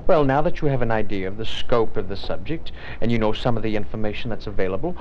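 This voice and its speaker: An actor playing the kindly, thoughtful ghost of an old statesman in an educational film, measured and gently instructive. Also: fairly low pitch, slight background noise, close to an everyday voice. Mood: patient, encouraging. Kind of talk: 1980s educational film acting. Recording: dull 1980s 16mm film soundtrack, music behind.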